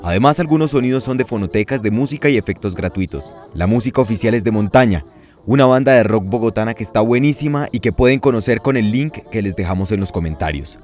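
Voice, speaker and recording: A man's voice: on the low side, expressive, fast, a little mellow. A man reading the credits of a radio drama in a friendly, plain way. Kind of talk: scripted credit announcement.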